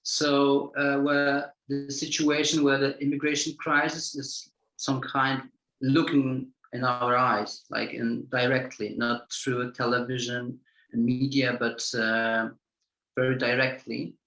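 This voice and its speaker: A film director answers questions in English, searching for words and circling back through repeated phrases. His voice sits at a middling pitch and carries a roomy echo. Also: flat delivery, pushed and bright. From Poland. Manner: halting, many repeated words and restarts. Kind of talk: festival Q&A answer.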